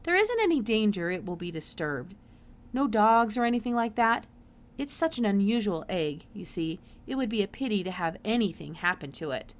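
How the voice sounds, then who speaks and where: A woman's voice: medium pitch, sing-song, moderate pace, lightly acted. Woman voicing an emphatic, absent-minded older male scientist. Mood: eager, fussy.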